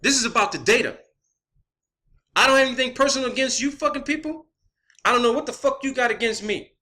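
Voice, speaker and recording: A man's voice: very high voice, fast, pushed and bright. A man delivering a confrontational, blunt, profanity-heavy rant as an online video creator. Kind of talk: profane spoken rant to camera or viewers.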